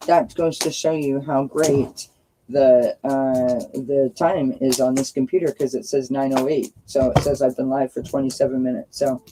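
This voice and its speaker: A woman's voice: rumbling low, fairly even intonation, moderate pace. A woman with a scratchy voice chats casually and in a friendly way with live viewers as she hosts a card-reading stream. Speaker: livestream host reading oracle cards. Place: outdoors by a firepit.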